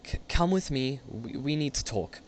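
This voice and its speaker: A man playing a frightened, guilt-ridden woman in her late twenties, halting and pleading, in an amateur radio play. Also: mid-range voice, expressive, slight background noise, pitched above the performer's own voice, lightly acted. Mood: anxious, pleading.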